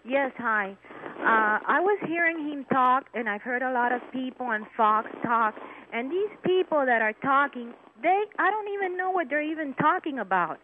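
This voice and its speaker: A woman's voice: high-pitched, lively intonation, moderate pace. A woman calling in to a radio show, talking insistently and over the other speakers. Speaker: caller. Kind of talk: heated call-in talk. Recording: tinny phone line with faint hiss.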